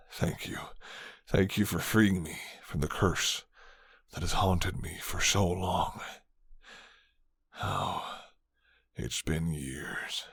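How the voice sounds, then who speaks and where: Tender romantic werewolf, acted by a man: extremely low, raspy and breathy, soft hesitant delivery, ASMR roleplay. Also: no room sound, heavily acted. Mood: relieved, grateful. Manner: slow, soft, hesitant, sighing. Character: handsome male werewolf, tender, grateful, smitten.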